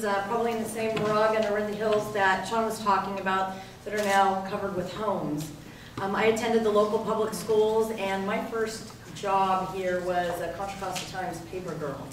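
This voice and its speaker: Middle-aged woman, political candidate, measured delivery with fillers, far from mic, roomy hall. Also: medium pitch, slight background noise. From Northern California. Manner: measured, with frequent um and uh. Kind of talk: debate opening statement. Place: public event hall.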